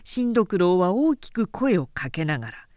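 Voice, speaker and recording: A woman narrating a literary period story as an audiobook, in a measured, dramatic storytelling manner. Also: on the low side, sing-song, a little mellow, no room sound, studio quality. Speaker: narrator, announcer.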